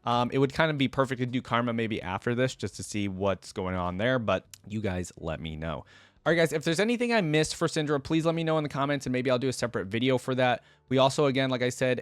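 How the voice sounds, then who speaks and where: A man's voice: medium pitch, clean tone, dry recording. A man hosting a reaction video, giving casual, conversational commentary straight to his viewers. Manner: informal, conversational, addresses viewers directly.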